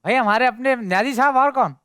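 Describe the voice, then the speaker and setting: A man's voice: extremely high pitch, brisk, a bit bright, clean tone, no echo, clean studio sound. A man on a comedy panel who speaks with courtly, flowery politeness.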